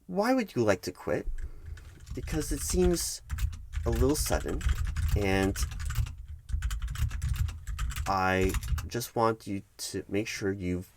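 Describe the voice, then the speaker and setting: Low-voiced, officious HR manager played by a man. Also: lively intonation, noisy background. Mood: measured, condescending. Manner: halting, measured, bureaucratic. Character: detached, officious male corporate HR manager, patronizing. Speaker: human resources manager. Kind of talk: one-sided ASMR roleplay.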